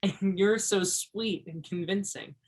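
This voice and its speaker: A man's voice: sits high, slow pace, husky, full character voice. A man acts the role of a young Alaskan woodsman in a one-act play. He comes across as earnest and shy, with a hesitant, sincere way of speaking. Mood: admiring. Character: earnest, shy young Alaskan backwoodsman who loves Shakespeare.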